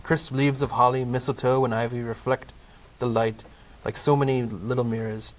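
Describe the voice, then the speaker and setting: A man's voice: medium pitch, level tone, moderate pace. A man narrating a comedic Christmas audio play from a script, in storybook style. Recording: clearly noisy amateur recording.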